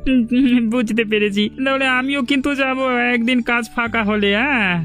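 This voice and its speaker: Man playing a chatty, very high-voiced villager. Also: fairly even intonation, moderate pace, a bit bright. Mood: cheerful. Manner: chatty, tag questions. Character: cheerful, chatty village neighbour. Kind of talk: cartoon dialogue. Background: background music.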